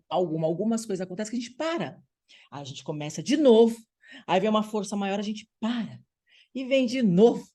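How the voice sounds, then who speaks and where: A Brazilian woman hosts an online meeting over a video call. Her voice sits at an average pitch. She speaks in an upbeat, encouraging way and keeps checking in with her listeners. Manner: upbeat, warm-sounding through her words, drops in frequent "tá bom?" check-ins. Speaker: host of an online women's group meeting. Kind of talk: lively welcoming online meeting talk.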